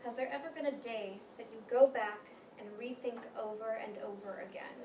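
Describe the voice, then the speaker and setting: Stern female psychiatrist acted by a woman, higher register, authoritative and probing; stage drama, echoey, noisy recording. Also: brisk, mellow, lightly acted. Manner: firm, questioning, authoritative. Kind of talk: staged play reading. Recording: echoey room, distant mic, clear noise.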